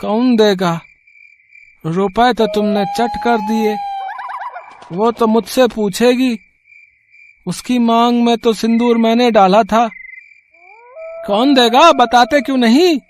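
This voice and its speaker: A man as a slow, naive, tipsy son. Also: very high-pitched, slight background noise, pitched above the performer's own voice. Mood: anxious, challenging. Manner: slow, plaintive, questioning delivery. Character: naive, tipsy, anxious grown son, pious and sentimental. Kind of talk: dramatized Hindi short-story reading.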